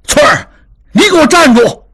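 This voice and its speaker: A male storyteller voicing a stern, angry old father who barks orders and shouts. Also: animated, moderate pace, heavily acted. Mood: angry, barking. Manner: barking, shouting, raised pitch.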